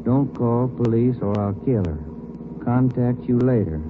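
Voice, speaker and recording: A man's voice: mid-range voice, a little slow, natural voice. A man playing an easygoing detective partner. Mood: conversational. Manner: relaxed, conversational questioning. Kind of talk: 1950s radio drama acting. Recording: tinny old radio broadcast with hiss.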